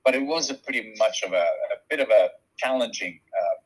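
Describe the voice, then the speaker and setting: A man in his forties from Sweden with a Swedish accent, a shaman and Reiki practitioner talking reflectively as an interview guest, softly and with many pauses. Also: lowish voice, animated, slightly rough, poor audio. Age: 40s. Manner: soft-spoken, searching for words, many pauses.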